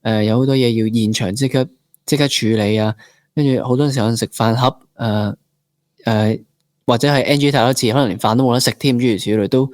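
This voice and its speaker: A man with a Hong Kong Cantonese accent and a mid-pitched voice, recorded dry. He chats as a podcast interviewer, casual and colloquial, working out his questions aloud. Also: steady tone, brisk, a little mellow, no echo. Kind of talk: casual chat.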